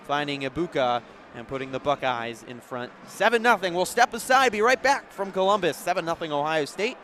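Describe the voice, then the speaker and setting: A man doing live radio play-by-play, moving between measured setup and urgent calls. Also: a little high, animated, a bit bright. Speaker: play-by-play sports announcer. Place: outdoor stadium broadcast position. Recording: background noise of an outdoor stadium.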